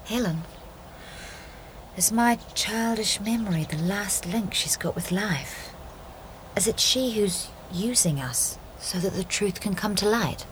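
A woman's voice: mid-range voice, lively intonation, a little slow, a little hiss or hum, natural voice. An actress playing an earnest, inquisitive young married woman in a radio drama, polite and questioning. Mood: uneasy, reflective. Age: young adult.

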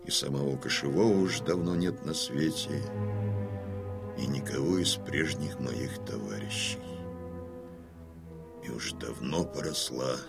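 An actor playing a stern, proud old Cossack chieftain in a radio drama, declaiming mournfully, moving between hushed and loud delivery. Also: male voice, very deep voice, a little slow, gravelly, pauses often, over loud music, heavily acted. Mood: bitter mourning. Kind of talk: theatrical radio drama monologue.

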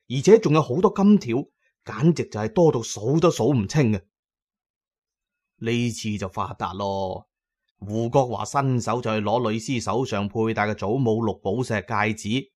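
A man's voice: mid-range voice, a little mellow. A man narrating a horror story as a theatrical, emphatic storyteller, with colloquial asides to his listeners.

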